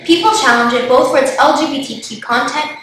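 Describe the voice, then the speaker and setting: A woman reading school morning announcements in a measured way. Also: high voice, fairly bright tone. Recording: very echoey room.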